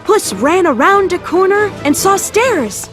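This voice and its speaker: A woman's voice: very high-pitched, big pitch swings, unhurried, fairly bright tone, soft background music. A woman narrating a scripted children's story as the storyteller.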